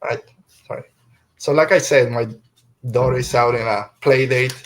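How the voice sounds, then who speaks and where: A man's voice: medium pitch, steady tone, normal speed. Middle-aged man hosting a casual podcast. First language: Spanish. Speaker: podcast host. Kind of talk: casual podcast review discussion.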